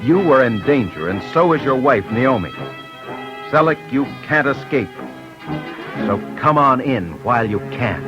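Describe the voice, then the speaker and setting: A man's voice: mid-range voice, very animated, unhurried. Grave male host of vintage crime radio. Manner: grave, urgent, direct appeals to listeners. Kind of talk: mid-century radio scripted narration. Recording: muffled old radio broadcast with music. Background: music.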